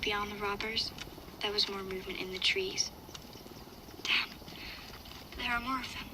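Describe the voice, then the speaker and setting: A woman's voice: medium pitch, steady tone, a little slow, noisy background, natural voice. A woman playing a young girl survivor, narrating a dramatic first-person audio log in a sombre, watchful way. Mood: sombre, tense. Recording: loud ambient sound behind voice.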